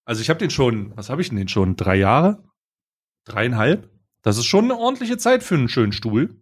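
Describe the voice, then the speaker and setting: A man's voice: mid-range voice, big pitch swings, normal speed, slightly rough. A man co-hosting a podcast, chatting casually in a rambling, joking, slangy way into a close microphone. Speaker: podcast co-host. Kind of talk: casual banter chat.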